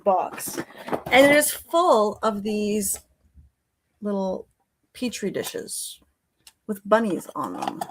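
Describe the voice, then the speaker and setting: A woman's voice: medium pitch, big pitch swings, slow, pauses often, a little hiss or hum, rough recording. A woman chatting casually and playfully as a livestream host.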